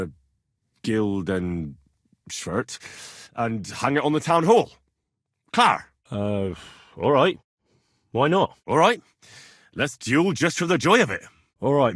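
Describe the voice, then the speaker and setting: A young medieval swordsman for a video game. His delivery is animated and colloquial, with wide swings in pitch and a slightly husky edge. He sounds plain-spoken and earnest, sometimes cheeky, in a close, dry recording. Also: no echo. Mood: casual, agreeable. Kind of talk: video game voice lines, naturalistic dramatic acting.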